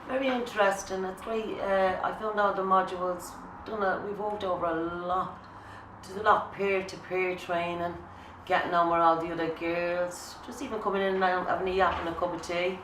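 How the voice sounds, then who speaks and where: A woman's voice: lowish voice, little pitch movement, moderate pace. A woman and mother, a peer trainee, speaking hesitantly with many restarts. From Ireland. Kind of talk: recorded testimony. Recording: echoey room, mic at a distance, noise.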